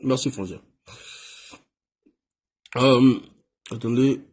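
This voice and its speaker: A man from Congo-Brazzaville hosting a casual live call-in, answering loudly in short bursts. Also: mid-range voice, very slow. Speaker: live stream host.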